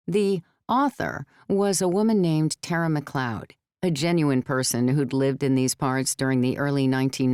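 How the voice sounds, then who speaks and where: A woman with a very low, very smooth voice narrates a novel. The reading is scripted audiobook storytelling, captured close and dry on a studio microphone. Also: darkish tone, no echo. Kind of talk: scripted third-person fiction narration.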